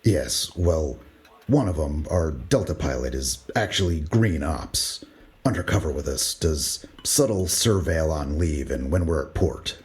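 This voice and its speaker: A man playing a starship lieutenant and fighter pilot, giving a measured, matter-of-fact briefing in an audio drama. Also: very deep voice, expressive, darkish tone.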